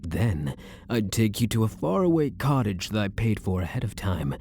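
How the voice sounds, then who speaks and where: A man's voice: fairly low pitch, a little mellow, a little hiss or hum. A man playing a possessive, lovestruck elf prisoner in an intimate roleplay, teasing and theatrical, with doting endearments. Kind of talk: intimate ASMR roleplay acting.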